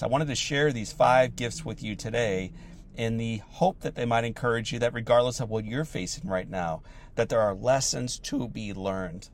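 A slightly hoarse man, still recovering from laryngitis, giving an earnest, reflective motivational talk and repeating key phrases for emphasis. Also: medium pitch, a little hiss or hum.

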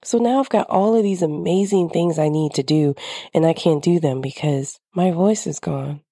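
Woman, podcast host, mellow tone, close dry sound, a little congested and nasal, candid personal monologue. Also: sits low, moderate pace, slightly rough, no echo.

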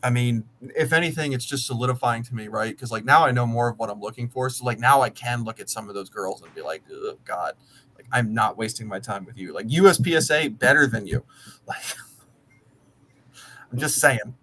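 A man's voice: mid-range voice, fairly quick, bright, forceful tone. An American man of about thirty chatting casually, talking at length in a blunt, sweary way. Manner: blunt, profane, talkative. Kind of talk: casual chat.

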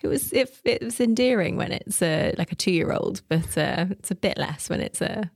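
A woman's voice: on the low side, lively intonation, normal speed, creaky, no echo, clean studio sound. A woman in her thirties, from Wales, chatting casually as a podcast guest in a giggly, teasing way. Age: 30s. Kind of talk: casual chat.

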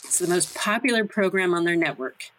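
A woman playing a gentle, sensible and courteous rebel in an audio drama. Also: fairly low pitch, fairly quick, a little hiss or hum, lightly acted, poor audio. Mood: practical.

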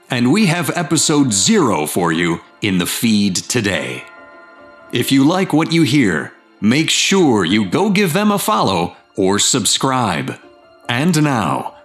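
A man reading scripted podcast promotion in a dramatic, measured way, as a host introducing a show. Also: medium pitch, echoey room. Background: faint music.

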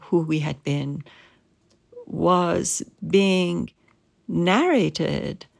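A woman from Iran with an Iranian accent, Persian as her first language, speaking as an interviewed writer in a measured, thoughtful way with pauses. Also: on the low side, vocal fry. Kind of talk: reflective interview.

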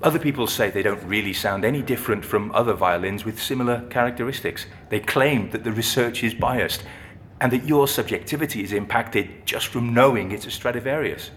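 A man playing a friendly, thoughtful music-box restorer in an audio drama, explaining things in a conversational, unhurried way. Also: medium pitch, fairly bright tone, slight background noise, lightly acted. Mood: thoughtful, enthusiastic. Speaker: actor playing an interviewee. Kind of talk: naturalistic audio drama dialogue.

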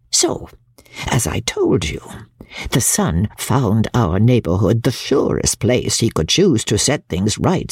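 A cold, shrewd older Victorian woman, acted out by a female reader in a classic audiobook. Her low voice is heavily husky and gravelly. She tells secrets deliberately, with very dramatic swoops in pitch and hushed drops. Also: dry recording, studio quality, heavily acted. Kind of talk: dramatic reading of classic literature.

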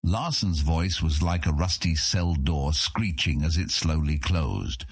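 A man's voice: a bass voice, animated, a little slow, husky, no echo. A man reading scripted epic fantasy narration for an audiobook.

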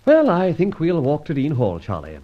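An actor playing a suave, unflappable British gentleman adventurer in an old radio serial, well-spoken, wordy and ironically polite. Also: fairly high pitch, huge pitch swings, moderate pace, dull audio. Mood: breezy, decisive.

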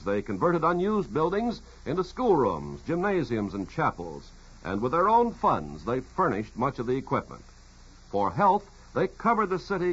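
A man with an American accent reading scripted mid-century radio narration in a formal, measured announcer's manner. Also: fairly high pitch, fairly bright tone, husky. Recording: muffled old radio broadcast.

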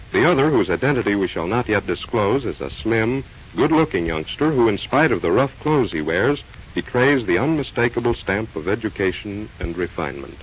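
Low-voiced old-time radio announcer. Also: male voice, husky. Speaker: announcer and narrator. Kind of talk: formal scripted old-time radio narration. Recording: muffled old radio recording, faint noise.

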